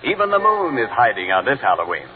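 A man's voice: medium pitch, huge pitch swings, fast talker, crowd noise. A man playing an ominous storytelling announcer, narrating in scripted 1940s radio style. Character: ominous storytelling announcer setting a spooky scene. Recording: narrow old radio recording over loud music.

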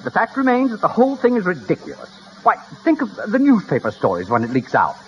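A man's voice: high voice, wildly swooping pitch, quick pace, heavily acted. An actor playing a stuffy, indignant middle-aged man, pompous and exasperated, in a 1950s radio comedy. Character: stuffy, indignant middle-aged son fearing public ridicule. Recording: dull, hissy old radio recording.

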